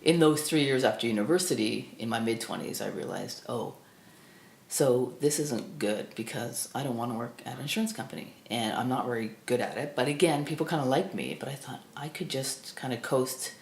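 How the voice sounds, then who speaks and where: Woman, fifties, from Ontario, gravelly voice, halting, reflective, echoey room. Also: extremely low pitch. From Burlington, Ontario, Canada. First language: Estonian. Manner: thoughtful, halting, many restarts and fillers. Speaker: film critic guest. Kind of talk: reflective personal storytelling.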